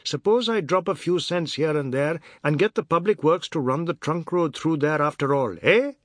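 A man's voice: on the high side, expressive, moderate pace, no echo, studio quality, pitched above the performer's own voice, natural voice. Man playing a blunt, irritable Trinidadian family boss. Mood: businesslike, irritable. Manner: blunt, clipped, insistent.

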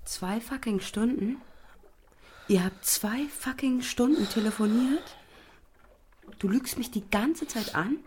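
A woman's voice: fairly high pitch, slow pace, long pauses, a little mellow, a little hiss or hum. A young actress playing a jealous, controlling, short-tempered girlfriend in an audio drama, accusing and sarcastic. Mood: furious, accusing.

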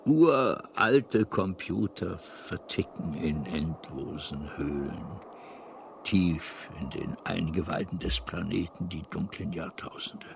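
A creaky, eccentric old alien gentleman. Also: deep voice, lively intonation, slow, background noise, full character voice. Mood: mysterious, mild. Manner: hesitant, meandering, swooping delivery. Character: kindly, eccentric old alien planet builder, absent-minded. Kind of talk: German radio drama acting.

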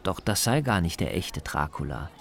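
A man's voice: low-pitched, very animated, normal speed, gravelly. A man reading scripted narration as the reporter of a radio documentary.

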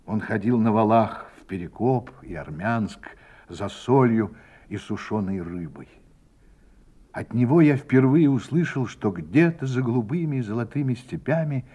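A man giving scripted first-person narration in a radio play, in a measured, expressive way. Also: fairly low pitch, husky.

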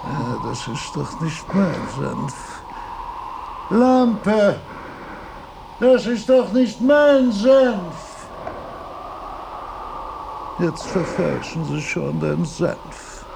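An older man playing an elderly, opinionated philosopher in a radio drama, speaking in a halting, pedantic and emphatic way. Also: high voice, very animated, vocal fry, noisy background, exaggerated voice. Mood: indignant, grumbling.

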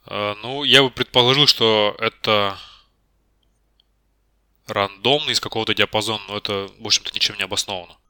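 A man's voice: lowish voice, fairly even intonation, fairly quick, long pauses, pushed and bright, clean tone, no room sound. A man being interviewed for a technical job, explaining spontaneously and tentatively, often correcting himself. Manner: tentative, self-correcting, admits uncertainty.

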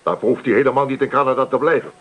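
A man's voice: medium pitch, rapid-fire, narrow, tinny audio, lightly acted. An actor playing a stubborn, grumbling middle-aged farmer father in a Dutch radio play, speaking curtly. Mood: indignant. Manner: gruff, grumbling, curt. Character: stubborn, grumbling farmer father, worried about his son. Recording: radio drama recording.

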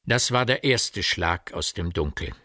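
A man narrating a dark village tale in a grave, literary style for a radio drama. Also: deep voice, animated, measured pace, no room sound, clean studio sound, heavily acted. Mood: grave, measured.

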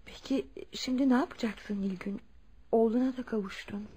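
An actress playing a compassionate, welcoming wife and elder-sister figure, gentle and consoling, in a radio drama. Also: female voice, on the high side, normal speed, breathy edge. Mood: tender, welcoming.

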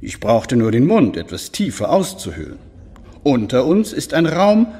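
An actor playing a courteous, sly alchemist lord in a German radio drama, explaining his marvels formally with a husky voice. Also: mid-range voice, huge pitch swings, normal speed, mellow. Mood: proudly secretive. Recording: long reverberant stone-hall echo.

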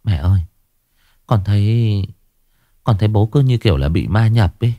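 A man voicing a worried young daughter-in-law who gently coaxes her family. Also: fairly low pitch, animated, normal speed, dry recording. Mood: worried coaxing. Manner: coaxing, gentle.

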